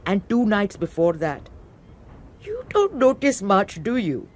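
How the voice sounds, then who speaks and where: A woman's voice: medium pitch, wildly swooping pitch, measured pace. An actress playing a sly, gossiping servant woman who mocks and teases in a radio drama. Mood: teasing. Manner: mocking, gossipy.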